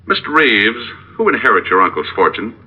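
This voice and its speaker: An actor playing a calm, dogged, weary private detective in an old radio drama, telling his case in terse first person. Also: fairly low pitch, a little fast, husky. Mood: probing. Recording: muffled old radio recording, music behind.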